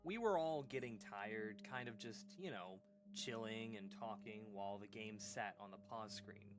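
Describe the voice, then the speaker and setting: A man acting as an uneasy storyteller in an audio drama, recounting an eerie childhood memory in a halting, informal, conversational way. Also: on the low side, music in the background, lightly acted. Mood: hesitant, uneasy. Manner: hesitant, halting, informal, with pauses and sighs.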